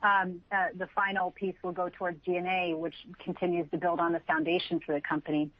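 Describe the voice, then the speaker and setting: A woman with a slightly low voice speaks as a finance executive on an earnings call. Her delivery is corporate, measured and analytical. The sound is dry and somewhat muffled, like a conference phone line. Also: level tone, no echo. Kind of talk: prepared business explanation on an earnings call.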